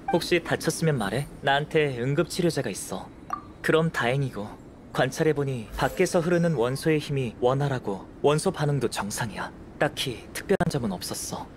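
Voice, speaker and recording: A man playing a calm, analytical young alchemist in a game, explaining in a measured, composed way. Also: medium pitch, noisy background, lightly acted. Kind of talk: Korean game voice acting.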